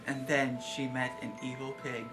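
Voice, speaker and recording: A man's voice: mid-range voice, level tone, normal speed, over music, rough recording. A man on a podcast, joining in playful improvised storytelling. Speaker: podcast participant.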